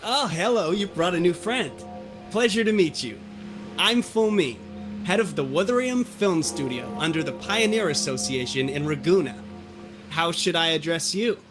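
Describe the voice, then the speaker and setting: Man acting a high-pitched flamboyant film showman. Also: normal speed, over music, natural voice. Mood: welcoming. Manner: grandiloquent, dramatic, animated. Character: flamboyant, excitable male film studio head, effusive. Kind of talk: theatrical video game acting.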